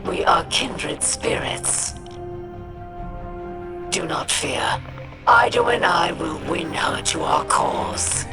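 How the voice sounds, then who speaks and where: A woman voicing a calm, dignified alien elder in a video game, speaking in a measured, solemn way. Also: sits low, over music, full character voice. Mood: calm, reassuring. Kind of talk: video game dialogue acting.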